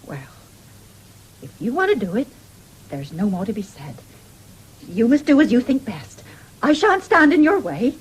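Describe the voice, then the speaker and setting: An actress in a 1970s radio drama playing a stern, stubborn farming widow who is blunt and forthright. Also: female voice, on the high side, very animated, unhurried, pauses often, slightly rough, heavily acted. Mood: subdued, resigned. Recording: noisy old radio drama recording.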